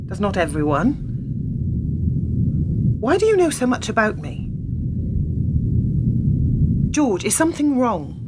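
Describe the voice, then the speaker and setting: A woman's voice: a little high, big pitch swings, slow pace, pauses often. Woman acting an earnest questioner, noisy background. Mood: uneasy, questioning. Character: earnest, compassionate, questioning woman in 1950s Britain. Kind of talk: radio play acting.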